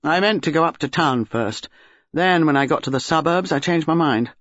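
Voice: mid-range voice, normal speed, fairly bright tone, dry recording, highs cut off, lightly acted. A reader voicing a shaken young husband, sullen and fierce, with an agitated, blurting delivery.

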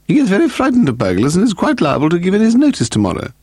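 Haughty aristocratic lady, played by a man, raised high, prim and severe, for a mystery audiobook. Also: huge pitch swings, very fast, a little mellow, no room sound, exaggerated voice. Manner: prim, disapproving.

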